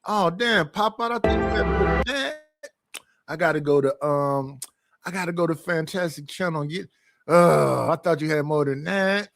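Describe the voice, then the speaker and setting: Man, slightly high voice, dry close sound, blunt casual livestream host. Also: normal speed, gravelly, a bit bright, dry recording, faint music behind. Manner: loose, conversational, blunt.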